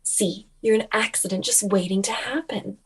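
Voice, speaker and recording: A woman voicing a condescending, mocking mean-girl child racer in an animated film table read. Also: mid-range voice, normal speed, husky.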